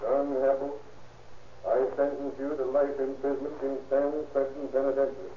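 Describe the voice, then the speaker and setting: A man's voice: medium pitch, level tone, moderate pace, soft background music, natural voice. A man playing a grave, formal judge in a 1930s radio drama. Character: grave, formal male judge passing sentence. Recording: dull, noisy old radio recording.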